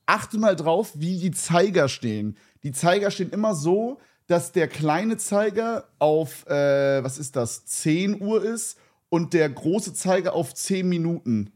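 A young man co-hosting a podcast, chatting in a rambling, excitable, slang-heavy way. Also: a little high, measured pace. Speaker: podcast co-host. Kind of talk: casual podcast chat.

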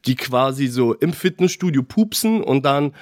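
A young man who came to Germany as a child, chatting casually as a podcast guest with animated, halting speech full of restarts. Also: medium pitch, no room sound, clean studio sound. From abroad, came to Germany as a child.

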